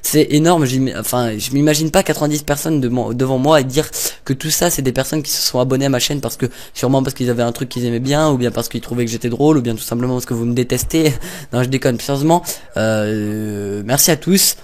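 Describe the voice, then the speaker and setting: A man's voice: medium pitch, quick pace, a little mellow. A young man casually commenting over gameplay, rambling and hesitant with lots of fillers and little jokes. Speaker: gaming YouTuber.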